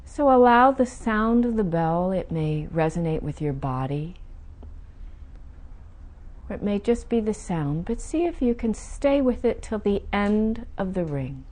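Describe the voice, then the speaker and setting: A woman guiding a meditation, giving soothing, gentle instructions with unhurried pauses between phrases. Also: medium pitch, animated. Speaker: meditation teacher guiding a session. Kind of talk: guided meditation.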